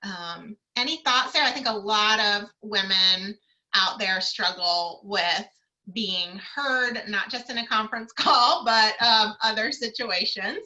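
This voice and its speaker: A woman's voice: mid-range voice, slow pace, pushed and bright, dull audio. A woman career coach moderating a video call panel, encouraging and full of fillers.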